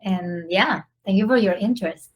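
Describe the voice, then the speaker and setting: Earnest woman from Venezuela, mid-pitch. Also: normal speed, rough recording. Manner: warm, earnest, with small slips in phrasing. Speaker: photographer, podcast guest. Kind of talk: casual interview storytelling.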